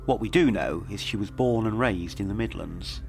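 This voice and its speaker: A man reading scripted mystery narration in a measured, dramatic storytelling manner. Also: on the low side, vocal fry, a little mellow, faint music behind. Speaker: documentary podcast narrator.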